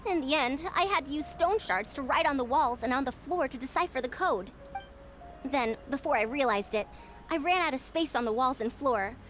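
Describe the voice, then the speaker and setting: A woman's voice: high-pitched, a bit bright. A woman playing a youthful, methodical researcher recounting an ordeal in a video game flashback. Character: youthful female researcher recounting long entrapment, weary, methodical.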